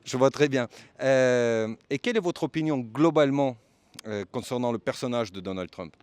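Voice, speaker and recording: A man's voice: mid-range voice, moderate pace, darkish tone, clean tone, no room sound. A man working as a street interviewer, asking questions politely and formally and giving his commentary. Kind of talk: vox pop interviewing and commentary.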